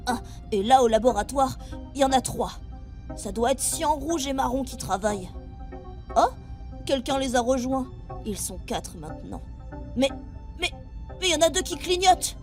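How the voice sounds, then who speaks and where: Petit garçon aigu et candide joué par une femme. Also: female voice, high voice. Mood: curious, then alarmed. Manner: wide-eyed, earnest, excitable. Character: earnest, anxious seven-year-old boy, space crew intern. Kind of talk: audio drama acting.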